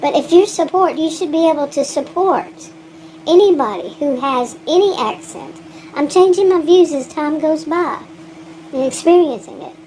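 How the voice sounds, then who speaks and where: A woman's voice: sits high, a little mellow, a little hiss or hum, rough recording. A woman with a thick Texan accent, vlogging in a rambling, frank monologue, often laughing and restarting her sentences. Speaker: gig delivery vlogger.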